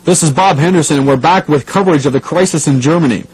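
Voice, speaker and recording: A man's voice: a little high, fast, darkish tone, slightly rough, rough recording. A man playing a serious radio news anchor covering a crisis, composed and formal.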